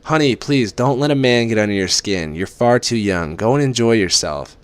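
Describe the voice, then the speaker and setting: A man's voice: medium pitch, lively intonation, moderate pace, clear, resonant tone, dry recording, voice pushed up, natural voice. A man reading aloud and voicing a doting, chatty middle-aged mother who fusses affectionately. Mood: fussing. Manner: fussy, affectionate, lilting.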